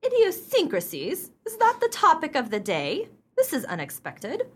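An actress playing a self-important, exacting society matron in a radio play, speaking in an emphatic, formal way. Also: female voice, high-pitched, wildly swooping pitch, moderate pace. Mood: stern, exacting.

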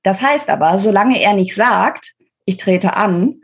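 A woman speaking as an interview guest, giving opinionated, explanatory political analysis in a conversational way, pausing between phrases. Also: mid-range voice, expressive, husky.

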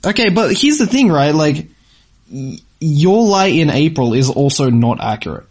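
A man's voice: fairly high pitch, very animated, moderate pace, darkish tone, breathy edge. A man co-hosting a casual, unscripted chat, explaining things in an informal, conversational way. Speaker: co-host answering viewer questions.

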